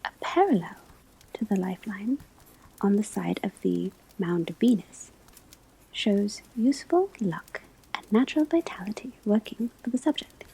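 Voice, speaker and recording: A woman playing a gentle witch-school divination teacher in an ASMR roleplay, whispering breathily as she gives measured instruction. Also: mid-range voice, animated, creaky, slightly rough, exaggerated voice, low-quality recording. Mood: calm, instructive. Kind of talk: ASMR classroom roleplay lecture.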